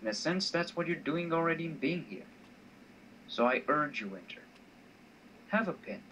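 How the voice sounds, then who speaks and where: A man playing a teasing, self-assured mentor in a visual novel, speaking in a measured, insistent, wryly patronising way. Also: on the high side, expressive, bright, forceful tone, dull audio. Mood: calm, persuasive. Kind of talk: amateur voice acting of a visual novel. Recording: distant mic with faint noise.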